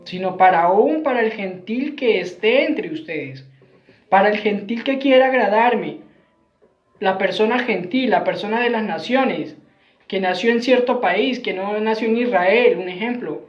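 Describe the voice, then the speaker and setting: A man's voice: high-pitched, measured pace. Man preaching with frequent tag questions. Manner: earnest, repetitive phrasing, frequent tag questions. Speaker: Messianic preacher. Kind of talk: Bible teaching sermon. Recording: roomy, dull lo-fi sound.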